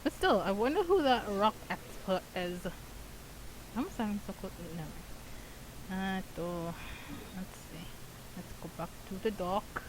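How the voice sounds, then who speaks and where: A game streamer giving casual, chatty commentary, often halting and stammering over repeated words. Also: medium pitch, lively intonation, background noise.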